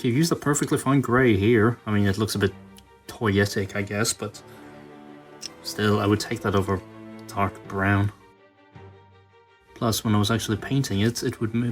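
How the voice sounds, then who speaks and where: A man with a fairly low voice talks casually through hands-on work as a tutorial host. His delivery is halting, as if thinking aloud, and music plays behind him. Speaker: toy customizing video host.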